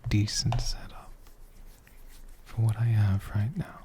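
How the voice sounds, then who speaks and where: A man doing soft-spoken, whispered ASMR in a gentle, deliberate manner, close to a condenser mic. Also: on the low side, flat delivery. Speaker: ASMR creator answering viewer questions. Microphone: USB condenser mic, close up.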